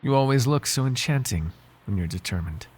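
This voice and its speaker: A man playing a devoted, flirtatious butler with a secret in an intimate ASMR roleplay, speaking softly in polite, formal phrases with halting hesitations. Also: mid-range voice, animated, husky. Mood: fond, flirtatious. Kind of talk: ASMR roleplay voice acting, intimate one-sided dialogue.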